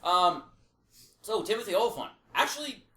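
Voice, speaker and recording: A man's voice: sits high, big pitch swings, moderate pace, bright, forceful tone. A man co-hosting a podcast, chatting casually and swearing freely. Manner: casual, profane. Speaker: podcast co-host. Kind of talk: casual chat about video game movies. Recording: echoey room, background noise.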